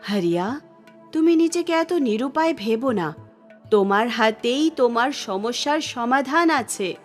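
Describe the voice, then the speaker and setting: A kind guiding cartoon goddess, high voice, calm, light music. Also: female voice, unhurried. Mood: reassuring, commanding. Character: benevolent female family goddess appearing in a dream. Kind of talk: cartoon voice acting.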